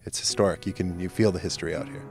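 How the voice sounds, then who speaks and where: An American man with a slightly low voice talks off the cuff in an interview. He is from North Dakota and speaks as a local tourism representative. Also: steady tone, fast talker, creaky, loud music behind the voice. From North Dakota, United States. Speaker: state tourism official as interviewee. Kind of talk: unscripted interview talk.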